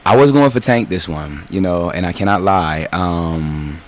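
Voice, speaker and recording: A man with a raspy voice hosting a podcast interview in a laid-back manner, with many fillers. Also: deep voice, measured pace. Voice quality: raspy today. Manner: laid-back, lots of fillers and "you know". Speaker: podcast host. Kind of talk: casual interview chat.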